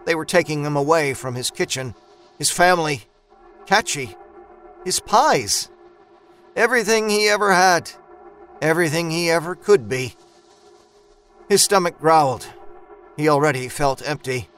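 Guy doing dramatic horror audiobook narration, kinda high voice, music underneath. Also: unhurried, long pauses, a bit bright. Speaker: storyteller. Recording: music bed behind narration.

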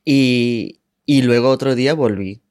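A man's voice: medium pitch, measured pace, darkish tone, dry recording, studio quality. A man in his twenties from Ohio, a native English speaker, casually telling his personal story as an interview guest. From Ohio, United States. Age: 20s.

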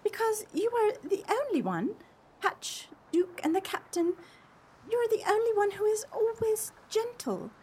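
A woman playing a gentle, wistful young cottage maid in an earnest radio play. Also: very high voice, sing-song, measured pace, heavily acted.

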